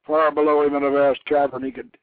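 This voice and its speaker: A man's voice: on the high side, flat delivery, a little fast, no echo. Dramatic male storyteller on a phone-like line. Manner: theatrical, solemn, dropping to hushed near-whispers and swelling for emphasis. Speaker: host reading his own novelette. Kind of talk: dramatic narrated storytelling, reading aloud. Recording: phone-like internet radio sound.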